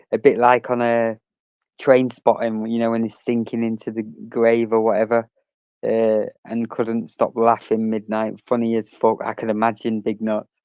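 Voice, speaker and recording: A man's voice: on the low side, a little mellow, smooth, dry recording, highs cut off. A man hosting a casual livestream, chatting and reading out messages from his chat, swearing now and then.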